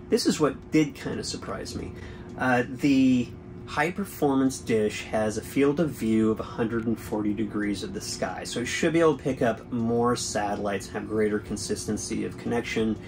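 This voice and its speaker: A man's voice: fairly low pitch, gravelly, background noise. A man in his forties hosting a boating channel, chatting through a product review from a boat cabin with an easygoing, explanatory manner and frequent fillers.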